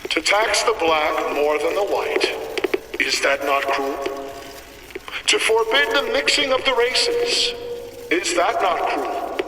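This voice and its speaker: An actor playing a zealous elderly prophet and villainous ruler in a video game, preaching in solemn, grandiose, archaic oratory. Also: male voice, sits high, roomy sound, big-room sound, recorded from a distance, noisy background, full character voice. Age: older. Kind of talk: theatrical sermon-like oratory.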